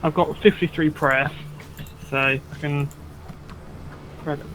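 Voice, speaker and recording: A man's voice: on the high side, fairly even intonation, takes it slowly, noisy background. A man playing alongside a friend, teasing and joking casually while he games. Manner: teasing, jokey. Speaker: co-player friend. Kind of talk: casual gaming chat.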